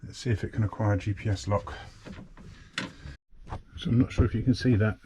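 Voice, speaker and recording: Low-voiced man talking over clear background noise. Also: steady tone, fairly quick. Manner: talking through each step as he works. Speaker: sailing vlogger. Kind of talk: casual narrated hands-on demonstration.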